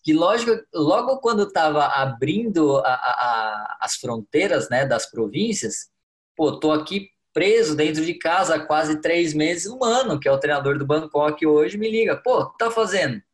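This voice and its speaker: A Brazilian goalkeeping coach in his thirties chats on a podcast. His voice sits a little high, and he tells his stories with lively, animated quoting. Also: a little slow. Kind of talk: podcast interview.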